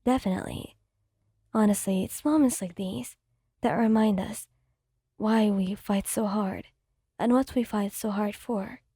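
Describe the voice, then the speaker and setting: A woman voicing a devoted young partner in a soft, breathy whisper. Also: mid-range voice, a little slow, pauses often, no echo, studio quality, voice pushed down. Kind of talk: ASMR fanfic reading.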